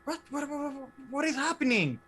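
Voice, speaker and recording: A man's voice: extremely high pitch, sing-song, fairly quick, pushed and bright, a little hiss or hum, voice pushed up, heavily acted. A man voicing a flustered teenage boy with a Swedish accent, anxiously repeating questions. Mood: confused.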